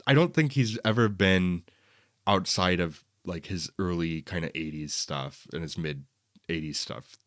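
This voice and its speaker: A man's voice: sits low, measured pace, fairly bright tone, dry recording, clean studio sound. A man co-hosting a film podcast in a casual, conversational and rambling way.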